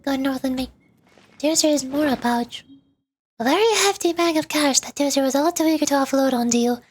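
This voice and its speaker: High-voiced playful woman streamer. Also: normal speed. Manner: playful, switches voices for characters. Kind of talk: reading game dialogue aloud. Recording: game music behind.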